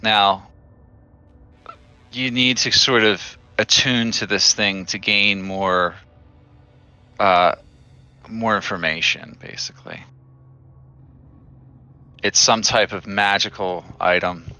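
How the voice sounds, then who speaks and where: A man running a tabletop game as game master, chatty and relaxed, with many fillers and restarts. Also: fairly low pitch, takes it slowly, pauses often, clean tone, faint music behind.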